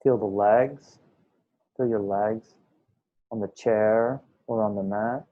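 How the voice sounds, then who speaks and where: A man teaching a guided meditation, speaking in unhurried short phrases with pauses between them. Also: fairly low pitch, steady tone, narrow, tinny audio. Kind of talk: guided meditation, slow instructional speech.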